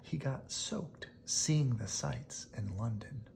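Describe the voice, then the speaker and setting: A storyteller reading a picture book aloud in a hushed bedtime style, often dropping to a whisper. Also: mid-range voice, slow, creaky, a little hiss or hum.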